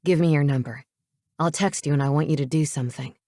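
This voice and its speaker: A controlling teenage boy, voiced by a woman narrator in a novel reading. He speaks low and curt, with cool menace and sarcasm, in a very close, dry recording. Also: fast talker, warm tone, dry recording. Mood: commanding, threatening.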